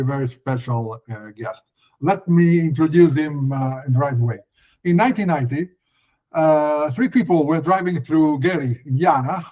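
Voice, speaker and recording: Male podcast host, Italian accent, slightly high pitch, deliberate halting delivery, dull recording. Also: darkish tone. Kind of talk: podcast interview with prepared introduction. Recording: dull, lo-fi microphone sound.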